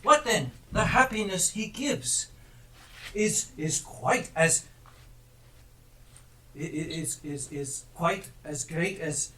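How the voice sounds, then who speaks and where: A man on stage playing a remorseful old miser shown his past, speaking with halting emotion. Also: a little high, expressive, pushed and bright, full character voice. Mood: earnest, regretful. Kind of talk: stage play acting. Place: theatre stage. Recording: roomy live stage, distant mic, faint noise.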